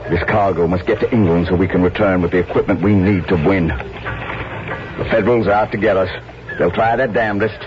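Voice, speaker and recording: An actor playing a stern, resolute middle-aged colonel in a 1970s radio drama, speaking formally and deliberately with command. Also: male voice, low voice, natural voice. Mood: commanding, tense. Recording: muffled, noisy vintage radio recording.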